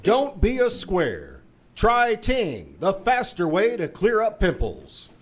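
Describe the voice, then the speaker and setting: A male announcer in the old-time radio style. His pitch is high and swings widely. He delivers his lines with showy emphasis. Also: huge pitch swings, slow pace, breathy edge. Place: live on a theater stage.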